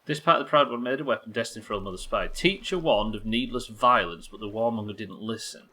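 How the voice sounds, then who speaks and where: A man's voice: lowish voice, quick pace, bright, forceful tone. A man doing casual livestream game commentary, thinking aloud, questioning himself and swearing now and then. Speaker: live game streamer.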